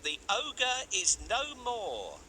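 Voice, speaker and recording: A man's voice: very high voice, huge pitch swings, very slow, sharp tone, a little hiss or hum, pitched above the performer's own voice, full character voice. A man voicing a bold, sly talking cat from a children's storybook, in a theatrical, courteous and persuasive manner. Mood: proud, announcing.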